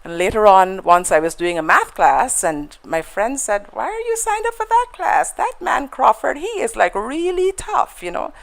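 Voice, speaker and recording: A woman's voice: fairly high pitch, big pitch swings, normal speed, vocal fry, few pauses. A woman with a Guyanese accent, a guest in a conversational interview, telling stories in a reflective way with frequent fillers and restarts.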